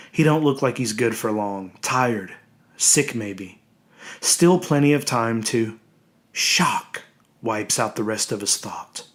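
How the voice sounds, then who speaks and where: A man reading a horror story as dramatic, scripted narration, deliberate and suspenseful, dwelling on his words. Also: mid-range voice.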